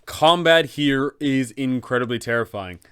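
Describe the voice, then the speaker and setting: A man's voice: mid-range voice, unhurried, a bit bright, clear, resonant tone. A man narrating advice for game masters, enthusiastic and speaking straight to viewers. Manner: enthusiastic, addresses viewers directly. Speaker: game master guide host.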